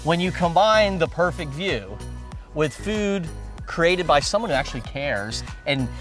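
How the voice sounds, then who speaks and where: A man's voice: fairly high pitch, unhurried, soft background music. A middle-aged man, a local newspaper publisher, chatting animatedly in an outdoor interview at a waterfront construction site. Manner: animated, talks with hands.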